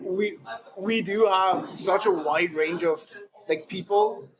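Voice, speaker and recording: A man's voice: high voice, slow, rough recording. A man from India in a band, chatting casually, stammering and laughing often. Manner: stammering restarts, laughs easily. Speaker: band member. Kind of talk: casual chat. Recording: crowd chatter behind.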